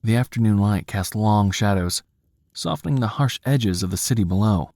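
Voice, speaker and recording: A man narrating a scripted story in a cinematic, dramatic style, with a measured, solemn, hushed manner. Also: fairly low pitch, dry recording, clean studio sound.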